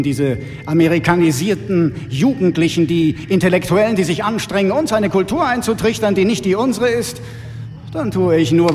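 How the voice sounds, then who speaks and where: An actor playing a haughty, fanatical intellectual villain in a radio drama, speaking in a pompous, preaching way. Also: male voice, fairly high pitch, darkish tone, lightly acted. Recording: long reverb, faint music behind.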